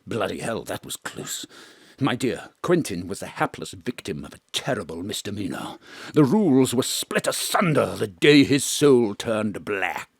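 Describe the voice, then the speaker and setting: A pompous veteran stage actor, played by a man in an audio drama, bombastic and theatrical. His husky voice leaps all over the place between booming and hushed. The sound is dry and close. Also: sing-song, moderate pace, no room sound, exaggerated voice. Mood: melodramatic. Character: pompous grand old male stage actor, florid and melodramatic. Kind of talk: live remote audio drama acting. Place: home studio.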